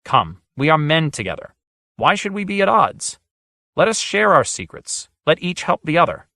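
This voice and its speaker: A man reading an audiobook, voicing a proud lord with a nasal, shrill voice who speaks in an insistent, pressing way. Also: fairly quick, fairly bright tone, dry recording, clean studio sound, natural voice. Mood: persuasive. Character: proud, urgent male lord, pleading then arguing.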